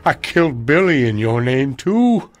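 An actor playing an old, grim and tormented gunslinger preacher in a western game, gravelly, solemn and biblical in his delivery. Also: medium pitch, sing-song, dry recording, clean studio sound. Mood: anguished. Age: older. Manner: solemn, biblical, slow and weighty. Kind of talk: western video game acting.

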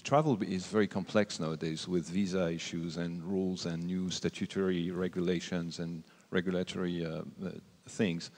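A man speaking on a conference panel, hesitant and full of fillers, on a large hall stage. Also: low-pitched. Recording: long hall reverb.

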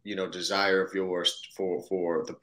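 Actor, male, thirties, American. Lowish voice, informal, searching delivery, recorded in a reverberant room. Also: level tone, measured pace, a bit bright. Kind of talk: casual conversation. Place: indoors, on a video call. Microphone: video call. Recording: echoey room on video call.

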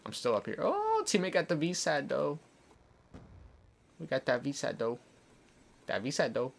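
A young man giving casual, chatty commentary over his gameplay, explaining tips as he plays. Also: creaky. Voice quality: deeper voice. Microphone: desk condenser mic. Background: soft background music.

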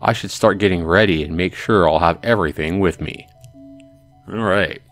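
A man's voice: sits low, moderate pace, fairly bright tone. A man hosting a let's play, casually commenting and reading a visual novel aloud. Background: music.

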